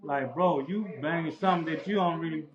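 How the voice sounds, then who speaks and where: Interview guest: man, slightly high voice, laid-back street talk, dull roomy recording. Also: fairly quick, a crowd in the background. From Los Angeles. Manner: laid-back, repetitive street slang with frequent "bro". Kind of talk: casual storytelling. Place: outdoors near a house.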